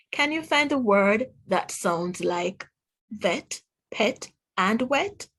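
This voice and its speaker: A woman reading aloud to young children as a teacher, pronouncing words deliberately one by one and putting questions to the listener. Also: on the high side, fairly bright tone, breathy edge. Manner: deliberate word-by-word enunciation, asks the listener questions. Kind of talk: read-aloud phonics lesson for children.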